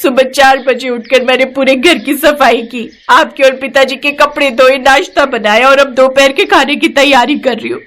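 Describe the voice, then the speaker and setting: Female narrator playing meek young daughter-in-law, high voice, plaintive, earnest, cartoon storybook. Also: fairly even intonation, normal speed, few pauses, a bit bright. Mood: hurt, tearful.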